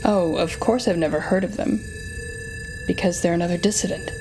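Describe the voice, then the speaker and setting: A woman's voice: lowish voice, music in the background, natural voice. A woman playing a sardonic, telepathic supervillain in an audio roleplay, speaking straight to the listener with dramatic, wry exasperation. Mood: alarmed, flustered. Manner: dramatic, wry, exasperated, halting when flustered.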